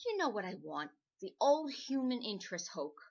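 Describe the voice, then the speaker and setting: A woman playing a brisk, pushy magazine editor in an old-time radio drama, slangy and insistent. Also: mid-range voice, sing-song, a little mellow, dull audio. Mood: eager, scheming.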